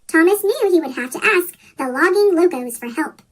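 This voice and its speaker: A children's story reader with a pitch-shifted chipmunk voice, reading a picture book aloud from a script. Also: animated, moderate pace. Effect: sped-up chipmunk pitch shift.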